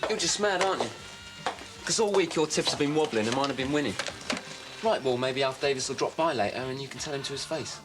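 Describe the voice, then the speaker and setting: A man's voice: medium pitch, music in the background, lightly acted. An actor playing a young male radio DJ in a TV drama who answers back in a cocky, touchy way. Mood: defiant. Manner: quick, cocky back-talk. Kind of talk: 1980 TV drama acting.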